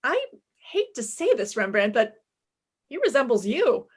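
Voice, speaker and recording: A woman's voice: on the high side, very animated, unhurried, slightly rough, full character voice. An actress playing a witty, flirtatious, self-assured female private eye in a 1950s-style radio detective play, with breezy, wisecracking delivery. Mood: teasing, coaxing. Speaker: lead actress and first-person narrator.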